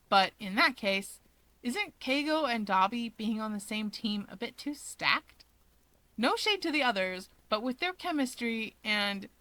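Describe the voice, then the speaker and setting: A woman narrating fan fiction in an expressive audiobook style. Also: fairly high pitch, no room sound, low-quality recording.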